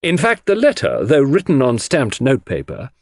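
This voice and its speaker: A man's voice: medium pitch, wildly swooping pitch, moderate pace, darkish tone, no room sound, clean studio sound, voice pushed up. A man voicing a pompous, bullying prosecuting counsel in an audiobook, hectoring and insistent as he cross-examines. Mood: hectoring, triumphant.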